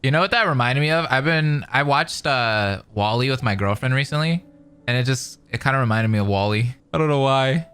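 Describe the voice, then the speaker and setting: A man doing casual, colloquial reaction commentary as he streams a game, expressive in manner. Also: mid-range voice, a little fast, clear, resonant tone, soft background music. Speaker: gaming streamer reacting to a story quest.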